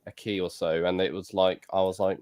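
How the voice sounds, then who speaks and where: A man's voice: low-pitched, level tone, fairly quick, darkish tone, smooth. A man co-hosting a music review podcast, chatting hesitantly with many ums while praising a singer's vocals. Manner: hesitant, lots of ums, enthusiastic about vocals. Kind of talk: casual chat.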